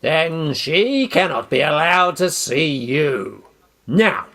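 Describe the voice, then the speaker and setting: A man's voice: a little high, expressive, takes it slowly, fairly bright tone, exaggerated voice. A man acting a bullying, hot-tempered duke in a radio play, blustering and domineering. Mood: irritated. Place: actor's home, recorded remotely.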